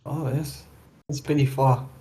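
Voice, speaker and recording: Man, mid-pitched voice, friendly, curious, casual chat. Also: steady tone, a little slow, a little mellow. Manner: friendly, curious, asks lots of questions.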